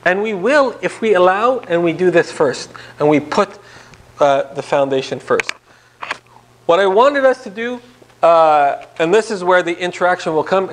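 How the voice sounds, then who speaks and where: Male priest, thirties, high voice, conversational interactive lecture in a small room, rhetorical questions, animated delivery. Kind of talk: interactive church talk to a small group. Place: small indoor meeting room.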